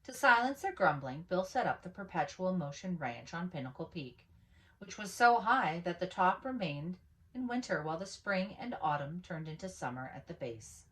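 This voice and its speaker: Woman, slightly low pitch, storyteller, expressive picture-book read-aloud, animated emphasis. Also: normal speed, poor audio.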